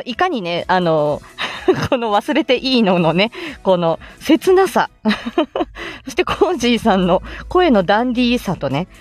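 A woman hosting a casual audio livestream, chatting to listeners in a giggly, effusive, rambling way. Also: sits high. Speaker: livestream host and amateur voice actor.

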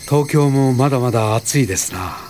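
An actor playing a gentle, earnest Buddhist priest in a radio drama, speaking formally and politely without hurry. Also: male voice, mid-range voice, moderate pace, noisy background, close to an everyday voice. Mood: courteous.

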